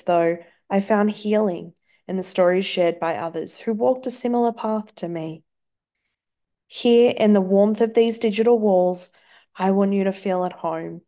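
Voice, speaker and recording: An Australian woman hosts a podcast in a soft, earnest voice of middling pitch. She moves easily from a carefully read welcome into relaxed conversation with her guest. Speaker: podcast host. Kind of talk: scripted intro then casual interview chat.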